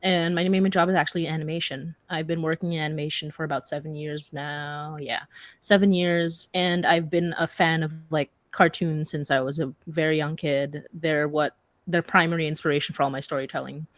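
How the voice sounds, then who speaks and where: A woman with a low voice and a very dry, close sound talks casually on a panel. Her manner is candid and self-deprecating, like a creator sharing her own experience. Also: fairly even intonation, moderate pace, dry recording. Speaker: podcast creator and animator, panelist.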